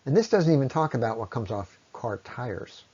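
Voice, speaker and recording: A man giving an explanatory science lecture in a measured way, pausing often and letting his phrases trail off at the ends. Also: medium pitch, very animated, mellow. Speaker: presenter on ecology. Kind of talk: explanatory lecture on environmental impact. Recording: dull, lo-fi voice recording.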